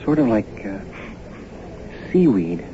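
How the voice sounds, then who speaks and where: A man's voice: on the low side, big pitch swings, takes it slowly. A man acting in a radio drama as a practical, easygoing husband who talks in a casual, matter-of-fact way. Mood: casual, wry. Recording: muffled, noisy old radio broadcast.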